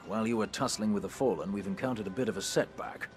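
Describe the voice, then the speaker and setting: An actor playing a courteous, wry older militia sniper with a British accent, speaking in droll, old-fashioned phrases for a video game. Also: male voice, lowish voice, quick pace, a little mellow, slight background noise, close to an everyday voice. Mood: wry, teasing. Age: middle-aged. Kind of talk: video game character acting.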